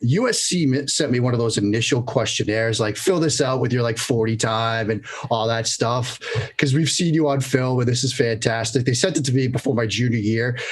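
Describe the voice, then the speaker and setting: A man's voice: medium pitch, moderate pace, hardly any pauses. A man from New England with a Boston accent, chatting casually as a podcast guest and telling stories in a self-deprecating, joking way. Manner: self-deprecating, jokey, animated storytelling. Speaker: podcast guest, quarterback analyst.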